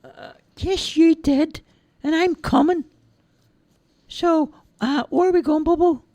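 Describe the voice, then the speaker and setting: A man voicing a simple, innocent big young man in a high, childlike, giggly voice. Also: slow pace, a little mellow, dry recording, heavily acted. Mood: cheerful childlike.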